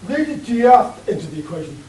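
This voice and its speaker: A man playing a slow-witted, bumbling henchman in an amateur radio play. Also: sits high, big pitch swings, dark tone. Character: dim, bumbling male henchman. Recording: roomy, distant, faint hiss.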